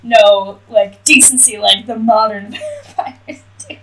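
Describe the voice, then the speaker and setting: A woman's voice: on the high side, wildly swooping pitch, takes it slowly, a bit bright, recorded from a distance, low-quality recording. A woman co-hosting a podcast in casual, reactive banter full of informal slang, recorded a little off the mic with some background noise. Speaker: podcast co-host.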